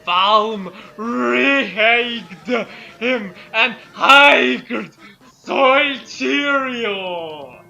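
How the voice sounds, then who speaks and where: A woman giving a halting, overdramatic comic reading of garbled fan fiction as a guest reader. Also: fairly high pitch, creaky, pushed and bright, highs cut off, soft background music. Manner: overdramatic, stumbling over misspelled words.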